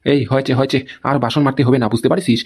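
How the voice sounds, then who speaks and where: Stubborn cartoon husband played by a man, indignant, scolding, extremely fast delivery with repeated tag questions. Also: mid-range voice, fairly even intonation, a little mellow, natural voice. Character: indignant, stubborn husband guarding his hard-earned house. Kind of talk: amateur comedy cartoon dialogue.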